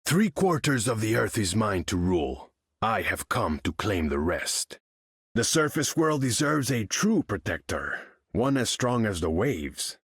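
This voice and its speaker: A haughty sovereign of the seas from a video game. The voice is fairly low and rough-edged, swinging widely in pitch. The speech is formal and grandiose, as from a throne. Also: male voice, sing-song, measured pace, no echo, studio quality, exaggerated voice. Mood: imperious, menacing. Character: proud, imperious undersea king of an ocean empire. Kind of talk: video game character voice lines.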